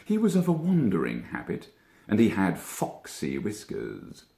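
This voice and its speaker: A male actor narrates a children's storybook with highly animated, swooping intonation. His lowish voice has a creaky, gravelly grain and deliberate dramatic phrasing. The room around him adds noticeable echo.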